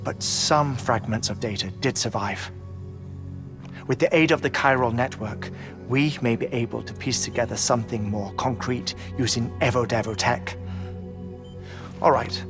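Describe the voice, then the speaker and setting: A man playing an erudite scientist in a video game cutscene, explaining theories in a precise, formal, measured way. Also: mid-range voice, close to an everyday voice. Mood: intent, theorising. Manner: lecturing, precise, formal diction. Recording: music behind the voice.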